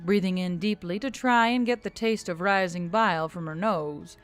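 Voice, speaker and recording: Female audiobook narrator: mid-range, highly animated storytelling read for scripted fiction. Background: music.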